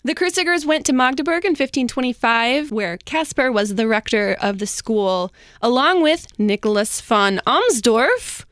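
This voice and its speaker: Woman telling a story, higher-pitched, close dry sound. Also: lively intonation, normal speed, hardly any pauses, a bit bright, no echo. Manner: casual, slangy, chatty asides. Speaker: host telling a history story. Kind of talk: informal storytelling among co-hosts.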